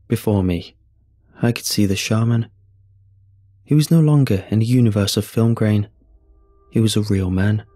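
A man narrating a scripted first-person horror story in a measured, solemn, husky voice. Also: fairly low pitch, dark tone, no room sound. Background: music.

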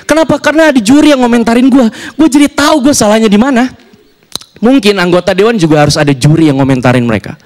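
A man's voice: very high voice, quick pace. A young man performing a stand-up comedy set on a TV studio stage with a handheld mic, acting out voices as he tells his jokes. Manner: animated, acts out voices and dialogue.

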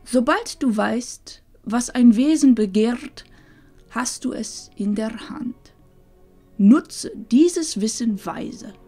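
A woman playing a loving, wise old grandmother in a fantasy audio drama, speaking solemnly and tenderly with breathy phrase endings. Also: on the high side, lively intonation, slow, mellow, soft background music, exaggerated voice. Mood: solemn, earnest.

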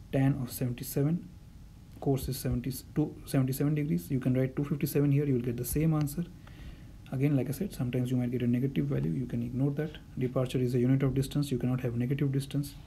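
Gravelly, dark-voiced male instructor. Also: mid-range voice, a little hiss or hum. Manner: patient, step-by-step, repeats key points. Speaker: navigation instructor. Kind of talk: whiteboard-style tutorial explanation.

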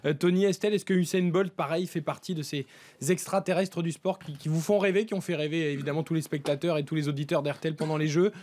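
A man hosting a lively live radio show from a studio. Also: a little high, fast talker. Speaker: radio host. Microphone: studio desk microphone.

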